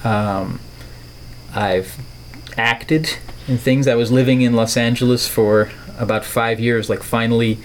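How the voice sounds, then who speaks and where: A man's voice: medium pitch, fairly even intonation, a little slow, rough recording. Middle aged dutch-born guy w/ american accent, playful wordy podcast guest, bit of noise behind him. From Netherlands. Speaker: podcast guest, artist and filmmaker. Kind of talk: reflective conversational interview.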